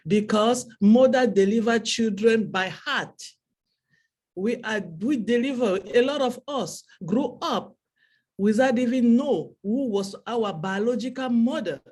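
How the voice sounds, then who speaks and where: A woman's voice: medium pitch, a little mellow. A woman from Cameroon whose first language is French, speaking with a Cameroonian French accent in a heartfelt speech to an online audience, repeating phrases for emphasis. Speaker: webinar speaker.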